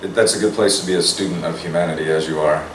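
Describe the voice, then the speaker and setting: A man with a very low, raspy voice, hoarse from singing, talks in a relaxed and rambling way. He is picked up from a distance in a roomy space with a faint hiss of noise behind him. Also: very fast. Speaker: guest, singer and poet.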